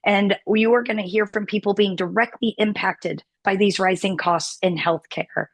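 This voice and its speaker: A woman whose voice is hoarse and fading delivers an emphatic political rallying speech, pressing her points with repeated phrases. Her pitch sits in a middle range for a woman. The sound is dry, with no room echo. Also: steady tone, normal speed. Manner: emphatic, repetitive rally cadence. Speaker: organization president hosting a virtual town hall.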